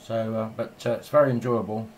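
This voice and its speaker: A man's voice: lowish voice, level tone, brisk. A man chatting casually and in a rambling way as a radio operator filming in his home shack. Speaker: amateur radio operator and video maker.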